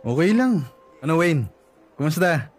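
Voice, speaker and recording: An actor playing a breezy, self-assured young fiancé in a radio drama, chummy and a little smug. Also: male voice, a little high, very animated, a little slow.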